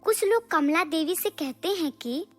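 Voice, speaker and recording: Woman storyteller, high voice, unhurried pace, scripted moral tale narration. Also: animated, faint music behind.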